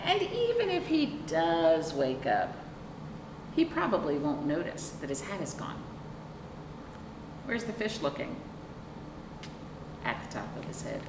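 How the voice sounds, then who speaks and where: A middle-aged woman with a lowish, very lively voice reads to children as a storytime librarian. She stretches words for emphasis and turns to the listeners with questions. The recording is distant, with clear background noise. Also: reverberant. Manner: expressive, drawn-out emphasis and questions to young listeners. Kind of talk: picture-book read-aloud with chatty asides to children. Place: small indoor room, seated on a couch.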